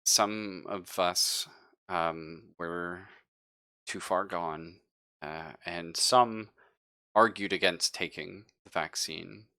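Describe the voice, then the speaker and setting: A man's voice: sits low, fairly even intonation, bright, forceful tone, smooth, dry recording, heavily acted. Man playing a nervous, stammering young spaceship survivor. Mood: evasive, explaining. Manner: halting, stammering.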